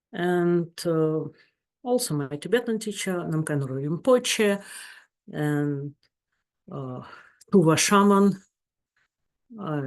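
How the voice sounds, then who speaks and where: A woman with a Russian accent, a therapist giving a webinar talk in her second language, searching for words and speaking earnestly. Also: deep voice. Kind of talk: webinar presentation.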